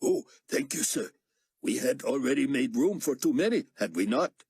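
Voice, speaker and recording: A man's voice: medium pitch, sing-song, normal speed, darkish tone, husky, no echo, studio quality, pitched above the performer's own voice, heavily acted. A man narrating an audiobook voices a deferential male flight steward who is overly polite and apologetic. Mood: polite, anxious.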